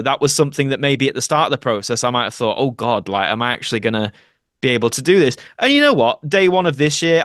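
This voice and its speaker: A man's voice: mid-range voice, fairly bright tone, no room sound. Man chatting, close dry sound. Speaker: podcast guest, performer. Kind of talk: casual conversational interview.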